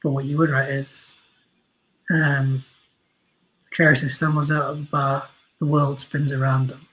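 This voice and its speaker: Husky, emphatic man reflecting in an interview. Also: medium pitch, level tone, a bit bright, low-quality recording. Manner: halting, emphatic, repeats phrases for stress. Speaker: guest, audio drama writer.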